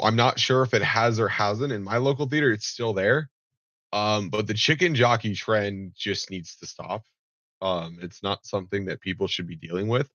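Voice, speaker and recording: A man's voice: on the low side, fairly bright tone, no room sound. A man with a nasal, allergy-stuffed voice giving casual reaction commentary, rambling with many ums and restarts and swearing offhand. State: nasal from allergies, slight cough. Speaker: commentary vlogger. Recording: dull, lo-fi home recording.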